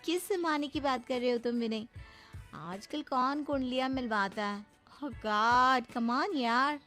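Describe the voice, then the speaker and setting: A woman's voice: sits high, takes it slowly, bright, forceful tone, soft background music, exaggerated voice. Haughty young daughter-in-law played by a woman. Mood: exasperated. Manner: bossy, dismissive, mixes in English. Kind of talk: cartoon voice acting.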